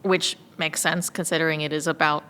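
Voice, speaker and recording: A woman with a bright, forward voice in a casual behind-the-scenes chat. She is animated and giggly, often acting out short asides as she explains things. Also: low voice, a little fast, long reverb tail. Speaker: voice actor and podcast panelist.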